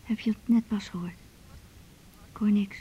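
An actress playing a sleepy, sceptical woman in a radio drama, hushed and gravelly, dismissive and grudging. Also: steady tone, normal speed, muffled recording. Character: sleepy, irritated, sceptical woman roused at night. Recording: noisy, rumbling radio play recording.

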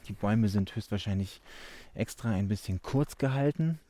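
A man describing what he sees as he walks, halting and repeating words as he searches for them. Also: on the low side, warm tone. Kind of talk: spontaneous spoken description of surroundings while walking.

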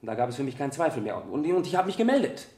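A man playing a young student witness in a radio courtroom drama, halting and stammering yet insistent. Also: mid-range voice, sing-song, darkish tone, lightly acted. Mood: hesitant, earnest. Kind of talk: radio play courtroom dialogue. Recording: roomy 1970 radio drama studio.

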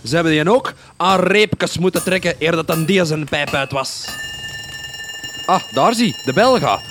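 Comic radio drama: chatty Flemish smith played by a man, folksy, slightly high-pitched, light music behind. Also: unhurried, lightly acted. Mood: hearty, chatty. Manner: folksy dialect banter. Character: hearty, chatty working-class village blacksmith.

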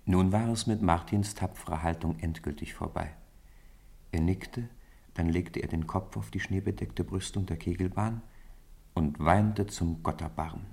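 A man's voice: low-pitched, normal speed, vocal fry, a little mellow, slightly rough. A man giving scripted literary narration as the storyteller of a radio play.

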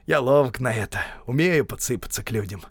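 A man's voice: mid-range voice, animated, fairly quick, breathy edge, dry recording, clean studio sound. A man voicing a sly, brash male peasant tramp in an audiobook story, boastful and teasing in rustic, colloquial speech.

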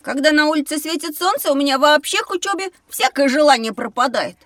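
A woman's voice: high voice, brisk, a bit bright, dry recording, studio quality. A woman voicing a cheeky young schoolboy who dislikes studying, childlike and grumbling, in a children's audio drama.

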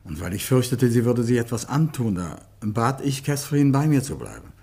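A man's voice: mid-range voice, very animated, moderate pace, vocal fry, mellow, husky, heavily acted. Earnest, articulate Englishman of radio drama. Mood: grave, reflective. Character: earnest Englishman recounting and reliving a troubled love affair. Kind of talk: German radio play acting.

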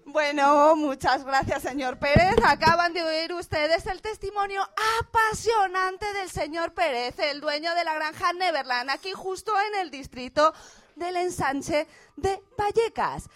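A woman acts an excitable on-the-scene radio reporter in a comic drama. She has an extremely high voice and a flustered, exclaiming, stammering delivery that keeps repeating itself. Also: unhurried. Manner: breathless exclamations, stammering, exasperated repetition. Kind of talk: radio theatre parody of live news report.

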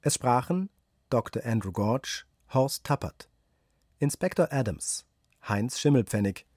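A man's voice: mid-range voice, expressive, a little slow, darkish tone, dry recording. Male radio announcer, dry close sound. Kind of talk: formal scripted radio credits reading.

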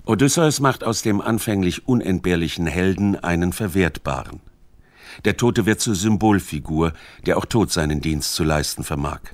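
A man with a low voice narrates a literary radio essay. His delivery is measured and formal, and the sound is close and dry, with no room around it. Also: no echo.

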